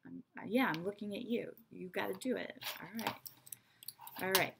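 A woman in her thirties, raised between Israel and America, giving a chatty, frank English lesson to her webcam in a small bright room. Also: mid-range voice, moderate pace, vocal fry, noisy background. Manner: chatty, frank, teacherly asides. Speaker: English teacher. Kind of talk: online English lesson to camera. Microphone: webcam at desk.

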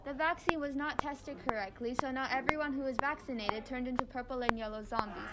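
A woman's voice: high voice, steady tone, a little fast, natural voice. A young teenage girl playing a commanding math teacher in a zombie audio drama. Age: young teen. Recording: very noisy amateur recording with clicks.

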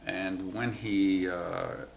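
A man in his nineties, born in Cuba, slowly recalling his family's past in an oral history interview, pausing often. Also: low-pitched, fairly even intonation, vocal fry, reverberant, slight background noise. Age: 90s. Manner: slow, halting recollection with many pauses. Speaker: interviewee, WWII navy veteran. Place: museum room, indoors.

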